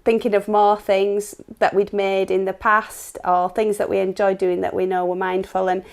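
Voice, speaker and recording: A woman's voice: medium pitch, level tone, normal speed. A woman in her forties, co-author and workshop host, chatting casually on a live stream and often ending with tag questions. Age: 40s.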